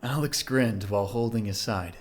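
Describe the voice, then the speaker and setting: A man's voice: fairly low pitch, normal speed. A man narrating an audio drama from a script.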